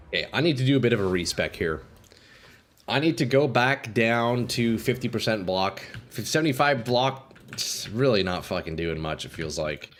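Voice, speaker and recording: A young man doing casual live commentary while playing a game, a bit congested and clearing his throat often, swearing and talking to chat. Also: fairly low pitch, animated, normal speed, pauses often, a bit bright. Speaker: game streamer.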